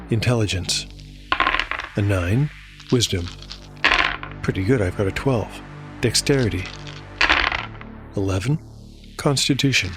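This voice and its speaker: A man narrating a fantasy tabletop game as storyteller and game master, in a measured, scripted delivery. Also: mid-range voice. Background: music.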